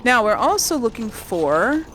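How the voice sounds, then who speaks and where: A woman's voice: on the high side, sing-song, measured pace, a bit bright. A woman giving casual let's play commentary on a video game, chatting and thinking aloud as she goes. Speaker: video game streamer, host. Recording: faint background game noise.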